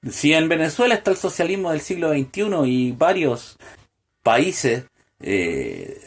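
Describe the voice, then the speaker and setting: Guy ranting solo on his podcast, kinda high voice, lots of eh's and restarts. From Chile. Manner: hesitant, many "eh" fillers and restarts, rising to indignant rhetorical questions. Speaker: podcast host. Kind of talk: political monologue.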